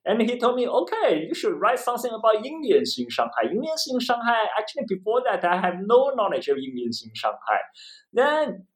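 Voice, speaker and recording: A man's voice: high-pitched, huge pitch swings, a little fast, rough recording. A man from eastern China with a Chinese accent, a professor explaining his work in an interview, repeating phrases and often checking with "right?". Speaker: interview guest, history professor and author.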